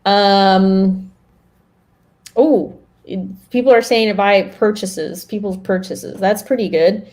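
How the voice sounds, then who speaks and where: A woman's voice: mid-range voice, takes it slowly. Woman bantering playfully on a podcast. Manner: animated banter, laughs often. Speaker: podcast co-host. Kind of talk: casual playful group chat.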